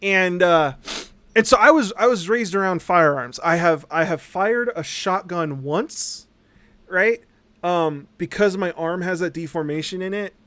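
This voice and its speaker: Male livestream host, higher-pitched, dry close sound; casual, sarcastic, sweary and animated. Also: a little slow, no echo. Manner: acts out mocking quotes. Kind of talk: casual rambling livestream chat.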